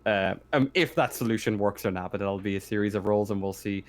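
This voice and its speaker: A man acting as game master in a casual tabletop game, talking haltingly and often restarting his phrases. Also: lowish voice.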